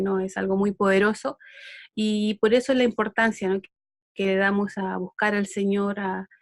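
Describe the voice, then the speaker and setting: A middle-aged woman with a Chilean accent giving an online Bible lesson, unhurried and full of fillers and restarts. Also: mid-range voice, no room sound, clean studio sound. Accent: Chilean Spanish. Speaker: Bible school teacher.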